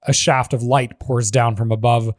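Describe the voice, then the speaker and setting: A man's voice: mid-range voice, level tone, normal speed, no room sound, clean studio sound. A man giving scripted narration, reading a screenplay's stage directions as the narrator of a table read.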